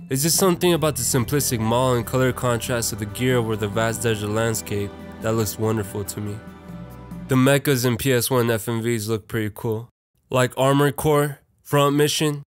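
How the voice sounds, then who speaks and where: A young man narrating a casual, slangy video essay in an irreverent, conversational, profane way. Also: mid-range voice.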